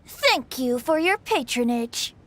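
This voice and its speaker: A woman's voice: sits high, very animated, takes it slowly, a bit bright, a little hiss or hum, full character voice. A woman playing a breezy young male bard. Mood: playful. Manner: airy, flowery, giggly. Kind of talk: video game voice acting.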